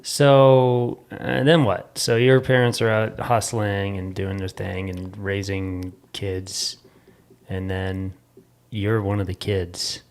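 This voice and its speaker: A woman asking relaxed, slangy interview questions on a podcast, with short easygoing reactions. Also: very deep voice, takes it slowly.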